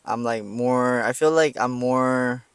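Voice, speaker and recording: A young man from Taiwan, a podcast guest chatting casually with a relaxed, halting delivery full of fillers. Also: medium pitch, smooth, no room sound. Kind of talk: casual interview chat.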